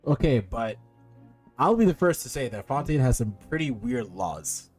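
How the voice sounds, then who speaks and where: Mellow-voiced young man doing game commentary. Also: mid-range voice, very animated, moderate pace, slightly rough, soft background music. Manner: joking, slangy, reads game text aloud. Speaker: game streamer. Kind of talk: casual gaming commentary.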